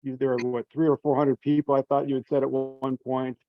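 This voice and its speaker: A man's voice: mid-range voice, flat delivery, brisk, no room sound. A man casually leading a meeting discussion on a conference call. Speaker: meeting leader taking notes. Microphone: remote teleconference call. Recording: dull, dry conference call audio.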